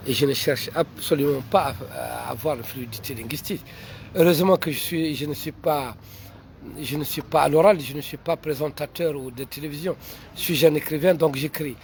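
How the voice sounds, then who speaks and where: Mauritanian man, accented French, writer answering questions, halting with restarts; slightly higher voice, faint background noise. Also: gravelly. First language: not French. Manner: halting, many fillers and repeated restarts. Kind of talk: unscripted answers to online questions.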